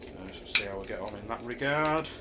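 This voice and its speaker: A man's voice: fairly low pitch, lively intonation, a little fast, very noisy. A middle-aged man with a Yorkshire accent casually reviewing a camping chair in his kitchen, hesitating and thinking aloud with many ums. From Yorkshire, England. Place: home kitchen, indoors. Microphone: camera a few feet away.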